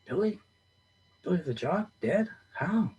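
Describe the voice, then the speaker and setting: A man's voice: high-pitched, animated, unhurried, a little mellow, breathy edge, highs cut off, pitched above the performer's own voice, full character voice. A man reading as a weary, wistful man in his sixties, speaking in a raised, wondering voice.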